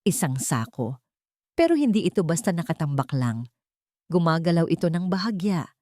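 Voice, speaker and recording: A woman narrating a scripted audio drama in a steady, dramatic storytelling manner. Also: low voice, no echo, studio quality.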